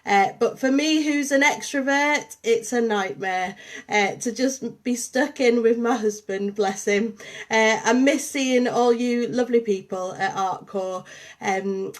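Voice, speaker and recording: Woman, Liverpool accent with a Yorkshire tinge, fairly high voice, chatty livestream talk, frequent ums. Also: a little slow. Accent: Liverpool and St Helens with a touch of Yorkshire. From Liverpool, England. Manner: chatty, warm greetings to viewers, many ums. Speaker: artist and programme coordinator hosting a livestream.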